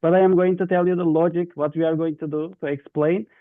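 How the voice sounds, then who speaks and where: A man with a Turkish accent explains instructions to an online group, halting and repeating himself in non-native English. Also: fairly high pitch, level tone, dry recording. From Turkey. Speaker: youth webinar facilitator. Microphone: video call. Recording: thin, dry phone-like online call.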